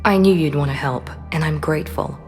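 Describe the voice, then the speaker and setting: An actress playing a poised, guarded former operative in a video game, speaking in a controlled, understated way with quiet vulnerability. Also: female voice, deep voice, brisk, in a large hall, faint music behind. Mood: worried, restrained. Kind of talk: dramatic video game acting.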